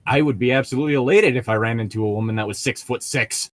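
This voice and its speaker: A man's voice: medium pitch, fast, dry recording. Sardonic man bantering, very dry sound. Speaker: co-host of a commentary read-along show. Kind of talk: casual banter between read-aloud passages.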